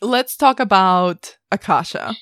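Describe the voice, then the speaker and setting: A woman's voice: mid-range voice, takes it slowly, fairly bright tone, dry recording, clean studio sound. A woman in her thirties co-hosting a podcast, casually discussing films in a conversational, wry, self-mocking way. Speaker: podcast co-host.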